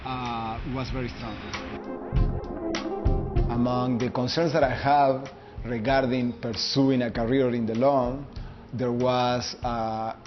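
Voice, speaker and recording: Deliberate, monotone man in his thirties with a Uruguayan Spanish accent, picking his words with care, muffled lo-fi audio. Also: mid-range voice. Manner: measured and deliberate, searching for words. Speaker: law student. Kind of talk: personal video statement. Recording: muffled lo-fi recording with faint background music.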